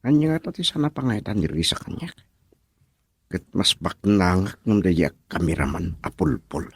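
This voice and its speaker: An actor playing a scheming, jealous rival suitor, brooding to himself and breaking into whispers in a radio drama. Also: male voice, sits low, lively intonation, moderate pace, slightly rough, no room sound, studio quality, exaggerated voice.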